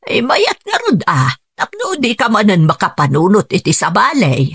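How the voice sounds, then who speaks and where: A woman's voice: medium pitch, huge pitch swings, normal speed, fairly bright tone, husky, exaggerated voice. An actress playing a long-suffering, middle-aged rural wife and mother in a radio drama, with an Ilocano accent. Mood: caring. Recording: music under the voice.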